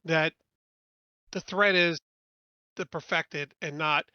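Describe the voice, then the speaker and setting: A man with a fairly high, bright, clear voice chats casually as a player in a tabletop game. The recording is dry and slightly dull. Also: steady tone, slow, no room sound.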